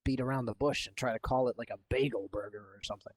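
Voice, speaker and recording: A man's voice: steady tone, fast talker, slightly rough. An eighteen-year-old man chatting casually as a podcast guest, rambling and laughing with lots of filler words, recorded on a cheap home microphone. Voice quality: deep for his age when slouched. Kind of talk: casual chat. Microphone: cheap home mic.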